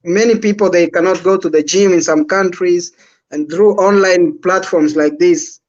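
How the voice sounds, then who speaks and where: A man's voice: high voice, normal speed. A man with an East African accent chatting casually as a guest on a live stream, over a dull online call. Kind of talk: casual chat.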